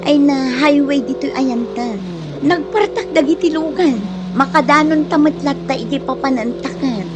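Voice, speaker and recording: Woman acting a scheming Ilocano woman, high voice. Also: normal speed, lightly acted. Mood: uneasy. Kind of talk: Filipino podcast radio drama acting. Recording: loud music and vehicle sounds behind. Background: loud music, car engine.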